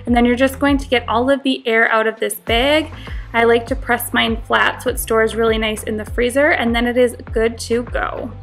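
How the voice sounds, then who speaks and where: A woman's voice: on the high side, level tone, moderate pace, creaky, a bit bright, faint music behind. A young woman giving a friendly, instructive voice-over for a home cooking tutorial. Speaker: cooking vlogger.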